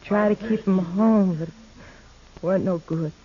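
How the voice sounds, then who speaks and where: A woman's voice: mid-range voice, normal speed, crowd noise, lightly acted. Woman as resigned young frontier daughter, muffled radio. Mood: resigned grief. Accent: American. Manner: plain, folksy, subdued. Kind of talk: 1950s radio western acting. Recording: dull 1950s radio recording.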